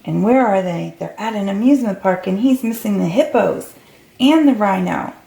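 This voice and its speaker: A woman's voice: mid-range voice, lively intonation, normal speed, creaky, dark tone. A woman reading a storybook aloud to young children. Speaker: storybook reader. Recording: roomy, echoey recording.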